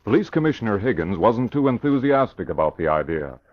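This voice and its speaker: A man's voice: on the low side, sing-song, a little fast, no echo. A man narrating an old-time radio crime drama in scripted style, on a muffled recording with music behind.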